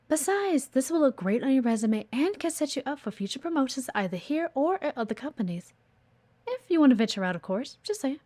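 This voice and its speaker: A woman's voice: sits high, darkish tone. A woman playing a blunt, guarded but earnest executive assistant in an audio roleplay, assertive and exasperated. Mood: businesslike, insistent. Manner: exasperated, assertive, frequent sighs. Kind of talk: audio roleplay acting addressed to the listener.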